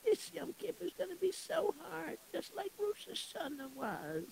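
A woman playing a grieving shoemaker's widow who moans and hesitates tearfully, with a quavering, creaky voice. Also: extremely high pitch, huge pitch swings, moderate pace, a little mellow, full character voice, rough recording. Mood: mournful.

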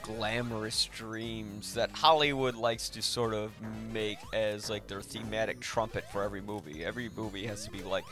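A man's voice: lowish voice, steady tone, normal speed, few pauses, over music. A man hosting a podcast, talking casually about films in a rambling, thinking-aloud way. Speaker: podcast host. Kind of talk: casual film discussion.

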